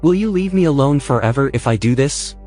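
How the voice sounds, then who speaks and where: A man's voice: medium pitch, animated, a little fast, a little mellow, close to an everyday voice. A man playing a fussy, reluctant artificial intelligence in an audio drama, sardonic and easily exasperated. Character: fussy, reluctant male artificial intelligence, anxious and put-upon. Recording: music behind the voice.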